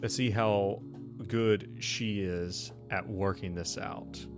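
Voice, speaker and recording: A man with a lowish voice narrates a tabletop roleplaying game as its game master. He talks informally and chattily, with music playing clearly behind him. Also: fairly even intonation, slow. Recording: background music under the voice.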